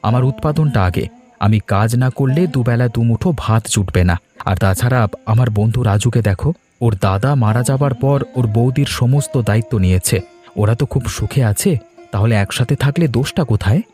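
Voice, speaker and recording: A man's voice: mid-range voice, a little fast, a little mellow, close to an everyday voice. Cartoon villager, male, earnest and admonishing, played by an actor; mid-range voice with music underneath. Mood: firm. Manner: explaining, persuading, admonishing. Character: reasoning village men: farmers and headman, firm, earnest. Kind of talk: cartoon story dialogue. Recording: music behind the voice.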